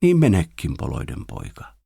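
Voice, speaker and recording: A man's voice: sits low, huge pitch swings, creaky, mellow, breathy edge, no echo, full character voice. A man performs a sorrowful mother in a recited folk poem. The voice is tender and mournful, close and dry, with no room around it. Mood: grieving tenderness. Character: sorrowful, tender mother sending her son away.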